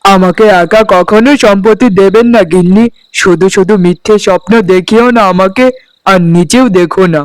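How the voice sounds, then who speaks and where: A man's voice: sits high, normal speed, clear, resonant tone, no room sound, natural voice. A man voicing a meek, henpecked village husband in a cartoon, protesting but yielding. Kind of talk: cartoon voice acting.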